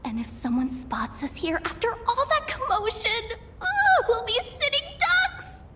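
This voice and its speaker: A woman voicing a young female treasure-hunting guide in a game, flustered, stammering and full of bluster though soft-hearted underneath. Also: high-pitched, animated, takes it slowly, very noisy. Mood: anxious, despairing. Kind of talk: animated game voice acting.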